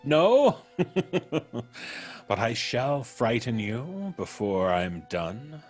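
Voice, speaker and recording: A vengeful ex-convict from an old radio thriller, savoring every threat in slow, florid phrases. His delivery swings widely between soft gloating and theatrical menace, with music faintly underneath. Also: medium pitch, big pitch swings, husky, exaggerated voice. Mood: cold, threatening. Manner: theatrical, drawn-out, florid diction. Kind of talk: old-time radio drama acting.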